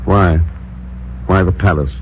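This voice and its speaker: An actor playing a suave, decisive secret agent, dry and clipped, in 1950s radio drama style. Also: male voice, low-pitched, fairly even intonation, takes it slowly, a little hiss or hum. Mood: cool. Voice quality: dry, clipped, self-assured. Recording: dull old radio broadcast with music. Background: orchestral music.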